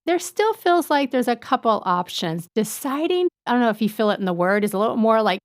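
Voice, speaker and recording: A woman in her fifties co-hosting a podcast, talking things through thoughtfully and often pausing to search for words. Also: a little high, animated, no room sound, studio quality. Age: 50s. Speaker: podcast co-host. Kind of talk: reflective casual chat.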